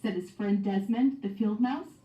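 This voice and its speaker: A woman's voice: medium pitch, moderate pace, mellow. A woman reading a children's picture book as scripted narration, heard played back in a classroom. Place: recorded read-aloud played back in a classroom. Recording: muffled classroom speaker playback, echoey, distant.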